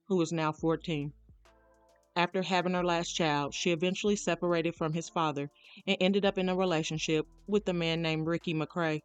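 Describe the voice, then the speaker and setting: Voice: mid-range voice, smooth, no room sound. A narrator reading a scripted true crime documentary account.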